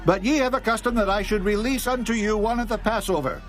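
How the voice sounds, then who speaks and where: An actor playing a formal, commanding Roman governor who questions and declaims in a dramatized scripture reading. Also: male voice, sits high, quick pace, a bit bright, soft background music. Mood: insistent.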